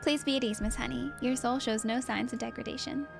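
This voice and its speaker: A woman voicing an earnest, concerned female fighter from a video game, speaking in a polite, measured, serious way. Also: a little high, steady tone, creaky, music in the background.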